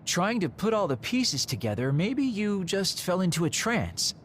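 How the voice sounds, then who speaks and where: A man's voice: sits high, big pitch swings, moderate pace, a little hiss or hum. An actor playing a laid-back, encouraging young male squad mate in an anime video game. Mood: easygoing.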